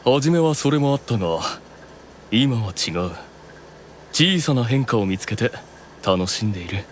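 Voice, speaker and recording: An actor playing a proud, keenly observant demon eldest brother in an anime audio drama, explaining things with deliberate authority. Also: male voice, medium pitch, expressive, a little hiss or hum, lightly acted. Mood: composed explaining.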